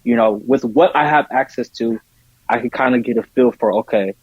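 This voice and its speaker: A man's voice: mid-range voice, a little fast, husky, no room sound, lo-fi sound. A man speaking casually and earnestly as a podcast guest, often repeating points for emphasis. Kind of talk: casual conversation.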